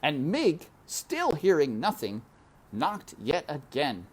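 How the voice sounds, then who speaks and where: A woman's voice: deep voice, wildly swooping pitch, takes it slowly, darkish tone, slightly rough. A woman storyteller reading a children's book aloud expressively, with chatty asides.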